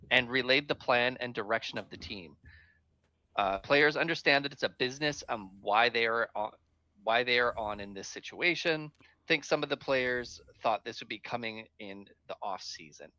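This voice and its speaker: A man's voice: mid-range voice, moderate pace, sharp tone, no echo, highs cut off. A man co-hosting a casual podcast, reading news notes aloud and then chatting about them. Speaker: co-host.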